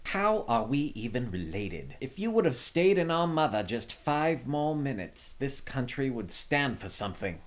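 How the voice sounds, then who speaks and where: A man's voice: medium pitch, sing-song, a little slow, few pauses. A man playing a haughty, exasperated royal brother with a fierce temper and a pompous, scornful manner in an audio drama. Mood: bitter contempt.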